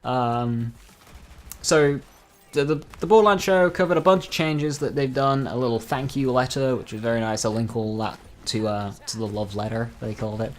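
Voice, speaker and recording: A man with a medium-pitched voice gives casual commentary on gaming news. He speaks informally, as a video creator does to viewers, and slips in dry, joking asides. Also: steady tone, moderate pace.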